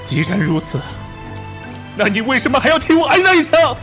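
A man's voice: extremely high pitch, sing-song, brisk, bright, forceful tone, exaggerated voice. A man playing a proud, passionate Nationalist army officer in a Chinese radio drama. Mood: anguished. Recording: music and rain behind.

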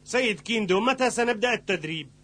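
A man voicing a brash, burly young male kung fu student in a cartoon dub, gruff and blunt. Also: high-pitched, lively intonation, fast talker, fairly bright tone.

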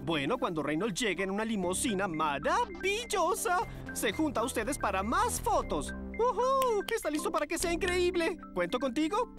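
A man playing a flamboyant, excitable young modeling agent in a cartoon, theatrical and gushing. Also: very high-pitched, normal speed, loud music behind the voice. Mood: excited. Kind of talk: animated cartoon dubbing.

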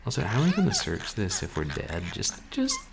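A man in his thirties streams a game from a small room, musing aloud with dry asides. His voice is deep and gravelly but lively in pitch. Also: brisk. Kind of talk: casual play-along commentary. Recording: noticeable background noise.

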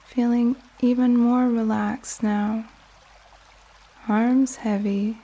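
A woman narrating a guided sleep meditation in a soothing manner, with long pauses between short phrases. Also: fairly high pitch, flat delivery, warm tone.

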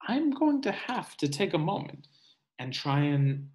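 Slow-paced man reading aloud. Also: on the high side, sing-song, a little mellow. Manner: deliberate, pausing, musing asides. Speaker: read-aloud reader. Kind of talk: reading a children's nonfiction picture book aloud, with asides. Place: small indoor room at home.

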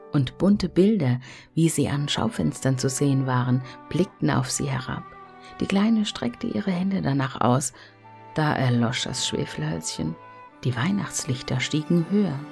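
A woman storyteller reading a fairy tale in an expressive, gentle and hushed manner. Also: deep voice, creaky.

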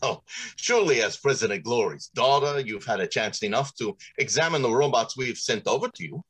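A man's voice: medium pitch, animated, a little fast, fairly bright tone, breathy edge. A middle-aged man playing a pompous, courteous factory general manager in old-time radio drama style, theatrical and businesslike. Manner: theatrical, businesslike, hesitating with ums.

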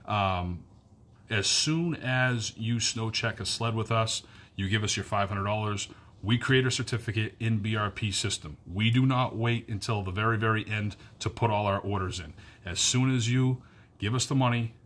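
A man's voice: fairly low pitch, moderate pace, a bit bright. A man in his thirties giving an earnest direct-to-camera update from a wood-paneled room, filmed on a phone held close, repeating his key points with lots of fillers. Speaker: powersports dealer staff. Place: wood-paneled cabin bedroom indoors. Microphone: phone camera at arm's length.

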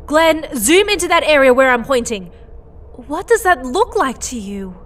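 Actress, observant worried spacefarer, high pitch, animated, excitable, insistent, audio drama. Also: female voice, moderate pace. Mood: anxious. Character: anxious, observant female space crew member.

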